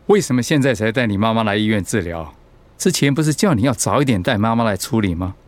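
A man playing a serious, measured doctor explaining things gravely in a radio drama. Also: on the low side, animated, no echo, natural voice. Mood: concerned reproach.

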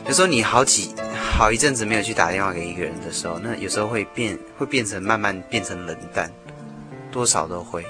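A man's voice: lowish voice, quick pace. A man speaking as a songwriter guest in an interview, explaining casually and earnestly, repeating phrases for emphasis. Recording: music behind the voice. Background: music.

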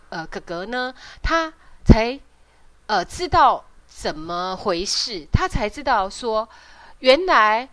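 A woman with a Taiwanese Mandarin accent hosting a podcast, explaining and retelling in an informal, halting way with frequent tag particles. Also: medium pitch, fairly bright tone. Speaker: podcast host.

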